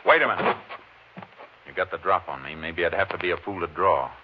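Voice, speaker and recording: An actor playing a calm, laconic Texas Ranger lawman in a 1950s radio western, speaking tersely. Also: deep voice, a little fast, close to an everyday voice. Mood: cool, defiant. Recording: noisy, muffled old 1950s radio recording.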